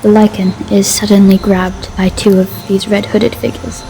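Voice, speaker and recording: A woman's voice: medium pitch, little pitch movement, moderate pace, warm tone. A woman giving scripted narration for a horror story as its narrator. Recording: music behind the voice.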